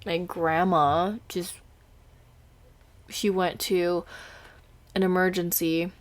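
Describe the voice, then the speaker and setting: This is a young woman in her twenties with an Indonesian accent, speaking English as her second language. She rambles and giggles through a casual podcast chat, stops and restarts often, and sounds teary after crying. Her voice sits a little low. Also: level tone, takes it slowly. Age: 20s. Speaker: podcast host.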